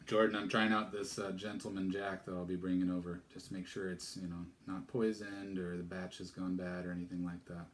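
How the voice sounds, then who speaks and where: A man reading a novel aloud, pausing for relaxed, chatty asides. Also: low voice, a little hiss or hum. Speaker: storyteller. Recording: echoey room.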